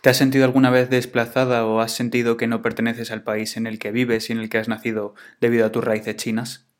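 A man asking interview questions in a measured, deliberate way. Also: medium pitch, completely flat delivery.

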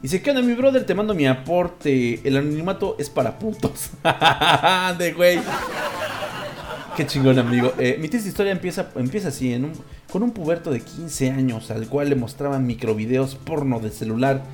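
A man's voice: a little high, expressive, normal speed, over music. A man with a Mexican accent hosting a casual online show, chatting and reading listeners' messages in crude, jokey slang. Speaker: host of a live listener-call-in style online show.

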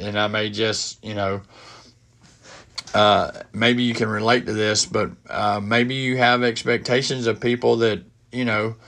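A middle-aged man with a head cold hosting a casual solo podcast from his home office, speaking haltingly with many fillers and restarts. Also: lowish voice, fairly bright tone. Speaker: podcast host.

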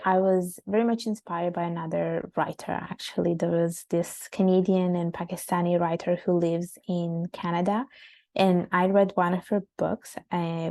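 A woman's voice: lowish voice, gravelly, darkish tone. A woman from Italy speaking as a podcast guest, telling her story earnestly with frequent hesitations. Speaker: guest, author. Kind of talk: casual interview chat.

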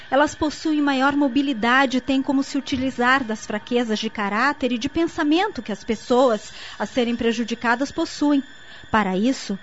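High-voiced earnest young niece played by an actress. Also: female voice, close to an everyday voice. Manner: didactic, articulate, earnest. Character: young niece explaining spirits earnestly to her aunt. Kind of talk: radio soap opera acting. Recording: muffled lo-fi audio with faint noise.

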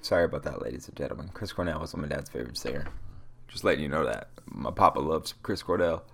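A man's voice: very deep voice, quick pace, vocal fry, fairly bright tone, slightly rough. A young man hosting a solo podcast, ranting in a rambling, profane, motivational way, stuffy-nosed from a cold. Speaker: podcast host. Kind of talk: casual solo podcast rant.

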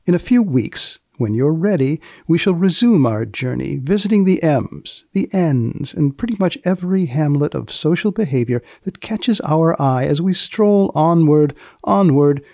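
A man's voice: on the high side, lively intonation, a little slow, creaky, hardly any pauses, darkish tone, no echo, studio quality. A man hosting a podcast in a wry, mock-formal way. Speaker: host.

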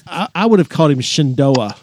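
A man's voice: a little high, animated, background noise. Chatty middle-aged man telling stories. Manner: chatty storyteller, halting restarts, quick laughs. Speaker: podcast co-host, school staff. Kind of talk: casual podcast chat.

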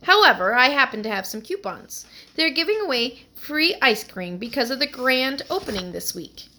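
A woman's voice: sits high, sing-song, moderate pace, bright, forceful tone, slight background noise, voice pushed up, full character voice. A woman voicing an earnest young boy who speaks in a matter-of-fact, informative way.

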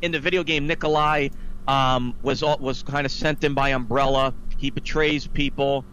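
A man's voice: medium pitch, fairly even intonation, moderate pace, fairly bright tone, rough recording. A man hosting a podcast, giving a casual, opinionated and emphatic film review that turns into an exasperated rant. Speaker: podcast host. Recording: faint background noise.

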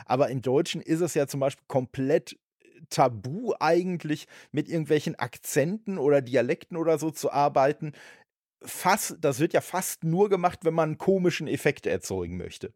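A male podcast host in a casual interview, speaking hesitantly with frequent restarts and soft affirmations. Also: fairly high pitch, lively intonation, normal speed, no echo, studio quality.

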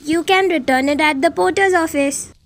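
A woman playing a hushed, matter-of-fact bus passenger in an audio play. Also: very high voice, normal speed.

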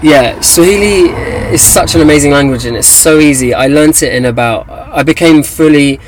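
A man's voice: a little high, normal speed, slight background noise, rough recording. A young man with an English accent, a guest in an interview, talking casually with many fillers and restarts. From England. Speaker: guest, activist.

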